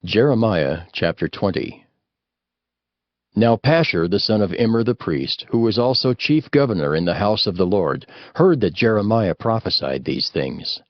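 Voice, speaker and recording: Low-voiced male scripture narrator, animated. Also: moderate pace, no room sound. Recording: dry, slightly muffled. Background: music.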